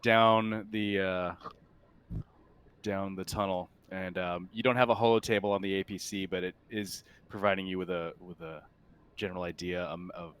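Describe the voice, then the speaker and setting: A man running a tabletop sci-fi roleplaying game as game master, improvising his narration in a halting, thinking-aloud way. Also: low voice, steady tone, a bit bright, clear, resonant tone.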